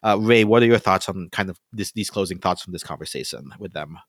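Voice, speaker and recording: Guy from the philippines hosting a podcast, kinda low voice, lots of "like" and "right?", dry close mic. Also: brisk, dry recording. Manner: loose, uses many fillers and tag questions. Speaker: podcast host. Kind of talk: casual podcast discussion.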